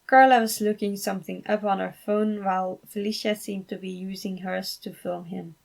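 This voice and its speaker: A woman narrates a scripted story in a mid-pitched voice. There is clear vocal fry in her delivery as she reads the fan fiction aloud. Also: steady tone, normal speed.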